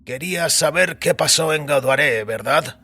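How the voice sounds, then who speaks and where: A man voicing a weary, ceremonious old priest in audio fiction, raspy and creaky, slow and savoring as he instructs. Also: fairly high pitch, bright, forceful tone, pitched above the performer's own voice. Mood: grave, hesitant. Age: older.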